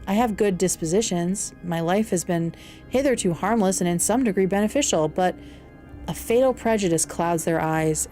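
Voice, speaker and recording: A woman acts out a forlorn outcast creature from a classic storybook. He speaks at a middling pitch, formally and earnestly, with anxious pleading, over faint music. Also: a little mellow, natural voice. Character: lonely male outcast monster, eloquent, anxious, pleading. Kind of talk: audiobook character dialogue.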